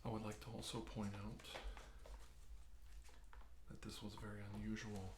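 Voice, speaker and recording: A man with a lowish voice plays a calm, reassuring doctor in an ASMR role-play. He speaks in a hushed, unhurried way and slips into whispers. The room adds noticeable echo, with a faint layer of background noise. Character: calm, reassuring doctor briefing on alien abductions. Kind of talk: soft-spoken ASMR medical role-play.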